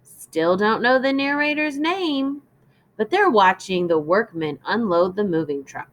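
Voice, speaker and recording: A woman teacher reading a children's story aloud in an instructive, deliberate way, as in a lesson for young children. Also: medium pitch, expressive. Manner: instructive, deliberate, prompting listeners with questions.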